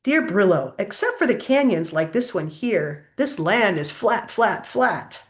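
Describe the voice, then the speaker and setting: A woman's voice: medium pitch, sing-song, unhurried. A woman voicing a curious, wondering male armadillo traveler in a storybook, earnest and full of questions. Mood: chatty.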